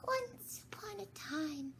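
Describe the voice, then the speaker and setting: A woman voicing a sleepy, feeble little dormouse with a hoarse, thin voice and a drowsy, sulky manner. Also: very high-pitched, very animated, takes it slowly, a bit bright, a little hiss or hum, voice pushed up, exaggerated voice.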